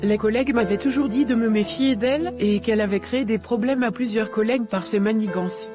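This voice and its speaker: A woman playing an earnest, discreet wife in a cartoon moral drama, speaking in a measured way. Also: a little high, close to an everyday voice. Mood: reflective. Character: earnest, discreet married woman, anxious and sincere. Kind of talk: animated moral drama dialogue. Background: music.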